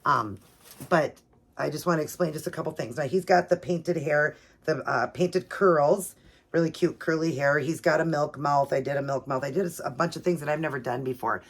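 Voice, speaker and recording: A middle-aged woman, hoarse and raspy from allergies, chatting casually as host of her own video channel with plenty of ums and you-knows. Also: deep voice, fairly quick. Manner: chatty with many fillers.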